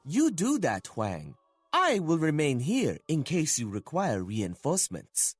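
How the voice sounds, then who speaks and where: A haughty, treacherous Chinese admiral declaims with extremely wide swoops of pitch. His delivery is slow, deliberate and theatrical, full of grandiose self-regard. Clear music plays behind this game cutscene villain. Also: huge pitch swings, no room sound, full character voice. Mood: dismissive. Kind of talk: dramatic video game cutscene acting.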